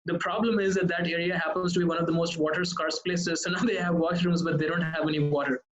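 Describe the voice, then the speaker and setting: A man from Pakistan, a consultant guest, explaining his points in a measured way. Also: a little high, level tone, muffled recording. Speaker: guest, social impact consultant. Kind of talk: interview answers.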